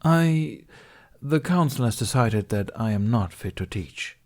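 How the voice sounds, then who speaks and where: A man's voice: mid-range voice, expressive, a little slow, darkish tone. A man voicing a weary, courteous older male master with a formal, hesitant manner in a narrated story. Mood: regretful, formal.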